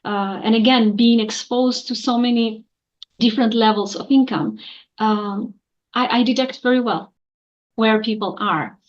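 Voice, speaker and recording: A woman in her forties talks earnestly as a guest, filling her pauses with lots of ums and uhs. Her voice is a bit higher than most women's. The recording sounds a little dull and muffled. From Albania. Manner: earnest, with many fillers. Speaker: guest, accountant. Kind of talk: interview answers.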